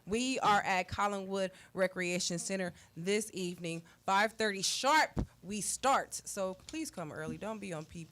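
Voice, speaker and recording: A woman's voice: medium pitch, measured pace, hardly any pauses, a bit bright. A woman speaking as a community organizer on the radio, making a spontaneous announcement with emphatic repetition and direct urging. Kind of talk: spontaneous on-air event announcement.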